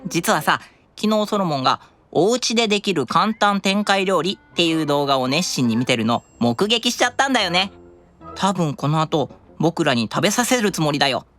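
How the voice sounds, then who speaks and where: A woman's voice: lowish voice, sing-song, moderate pace, fairly bright tone, soft background music. A woman voicing an earnest, stubborn little angel boy, childlike and theatrical, in an anime-style audio drama. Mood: conspiratorial.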